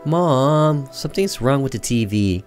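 A man's voice: medium pitch, animated, measured pace, a little mellow, pitched above the performer's own voice, close to an everyday voice. Innocent, pleading young boy in a horror game, acted by a man, music underneath. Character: young boy fibbing to his mother.

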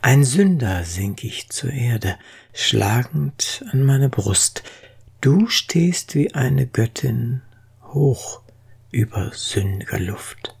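A poetry reader with a very husky, breathy voice and a creaky edge. The delivery is slow, solemn and expressive, with each phrase set down deliberately. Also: mid-range voice. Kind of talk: dramatic poetry recitation.

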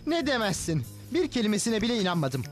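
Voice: mid-range voice, very animated, quick pace, soft background music, close to an everyday voice. An actor playing a timid, skeptical male cartoon sidekick, speaking with exasperated doubt. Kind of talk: dubbed cartoon acting.

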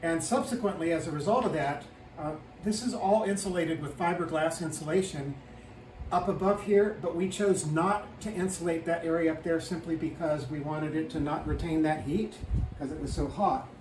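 A man's voice: a little high, moderate pace, a little mellow. A man in his fifties giving a casual, matter-of-fact tour of his small wood-paneled home, recorded by a camera a few feet away. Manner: plain, matter-of-fact explaining with frequent ums. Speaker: homeowner giving a house tour. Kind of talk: casual house tour talk. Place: small wood-paneled tiny house indoors. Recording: roomy, slightly distant with faint hiss.

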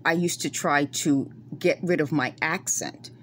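A woman's voice: low voice, measured pace, slight background noise. A middle-aged woman from the Bronx with a New York accent tinged by West Indian roots, talking earnestly into her phone in a dim room at night. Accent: Bronx New York with West Indian traces. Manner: earnest, insistent, explains in circles. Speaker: vlogger. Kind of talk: casual monologue to camera. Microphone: phone camera close up.

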